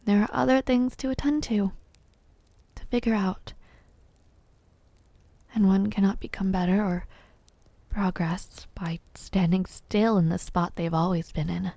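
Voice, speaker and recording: A woman performs a royal mother in an intimate audio drama, wistful and gently teasing. Her voice is low-lit and mellow, with slow, measured phrasing that often softens toward a whisper. The sound is very dry, with no room around it. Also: fairly even intonation, creaky, dry recording. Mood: wistful, resigned. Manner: measured, soft-spoken, often dropping to a whisper. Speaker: voice actor in audio roleplay. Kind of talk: intimate audio roleplay acting.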